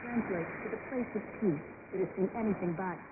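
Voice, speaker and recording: A woman's voice: mid-range voice, brisk, phone-quality sound. Woman reporter through very noisy shortwave. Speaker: radio news reporter. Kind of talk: scripted documentary narration. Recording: noisy band-limited shortwave radio broadcast. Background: heavy shortwave static.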